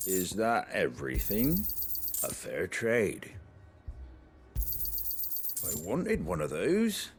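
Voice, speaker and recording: An actor playing a laconic shopkeeper in a fantasy video game. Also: male voice, low voice, wildly swooping pitch, very slow, long pauses, faint music behind, exaggerated voice. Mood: businesslike. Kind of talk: video game character lines.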